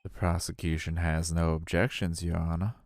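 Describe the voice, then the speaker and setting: Man doing a low, calm game prosecutor; deliberate and unhurried. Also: slight background noise. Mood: composed. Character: cool, composed young male prosecutor. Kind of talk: fan voice acting of a game.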